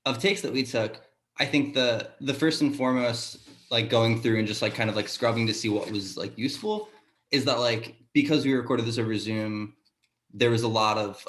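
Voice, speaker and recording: A man's voice: fairly low pitch, fairly bright tone. Man thinking aloud, roomy echo. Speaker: sound designer on a talkback panel. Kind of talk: casual panel discussion answer. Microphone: video call. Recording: roomy echo on a video call.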